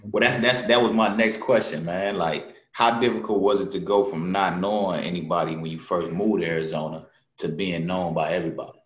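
A man's voice: low-pitched, fairly even intonation, brisk, echoey room. A man hosting an interview from home over a video call, chatting casually with short encouraging replies. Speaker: host. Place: dim room at home.

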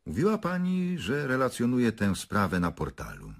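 An actor playing an unflappable prosecutor who questions formally and insistently in a radio drama. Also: male voice, medium pitch, wildly swooping pitch, moderate pace, creaky. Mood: pressing, accusing. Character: probing, unflappable male prosecutor leading a murder investigation. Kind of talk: Polish radio drama acting.

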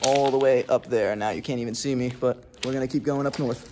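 A young man hosting an urban exploration vlog, talking casually and excitedly to a handheld camera. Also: medium pitch, a little fast, loud music behind the voice. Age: young adult. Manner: excited, casual, swears freely. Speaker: vlog host. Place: outdoors in city streets, subway and tunnels. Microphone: handheld camera at arm's length.

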